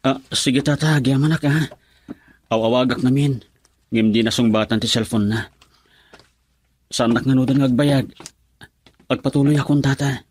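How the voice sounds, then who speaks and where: A man's voice: medium pitch, fairly even intonation, moderate pace, pauses often, a little mellow, husky, natural voice. A man acting a lovelorn, earnest young man whose sweetheart left him, pleading his case in an Ilocano radio drama. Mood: anxious.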